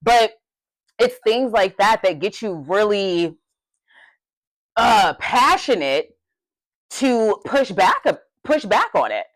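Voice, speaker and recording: A young adult woman co-hosting a podcast, talking in an emphatic, passionate way with a loud voice that carries. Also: mid-range voice, sing-song, slow pace, long pauses, no echo, poor audio. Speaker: podcast co-host. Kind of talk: casual podcast discussion.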